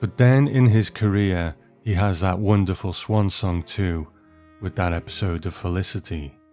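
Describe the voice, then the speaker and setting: A man narrating a scripted commentary on television episodes in a measured, explanatory way, as the host. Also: low-pitched, warm tone, faint music behind. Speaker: host of a commentary show on an old TV series.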